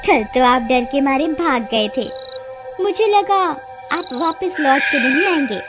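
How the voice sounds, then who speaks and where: A cruel cartoon forest witch taunts her prey in a high, swooping voice, put on by a woman. Music plays behind her. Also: expressive, measured pace. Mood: taunting. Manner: menacing, taunting and coy.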